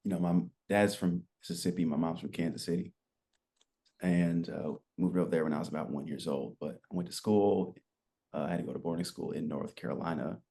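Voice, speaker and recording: A man in his twenties telling his story as a podcast guest, relaxed and rambling, with lots of fillers. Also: low voice, brisk, husky. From United States. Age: 20s. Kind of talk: casual interview chat.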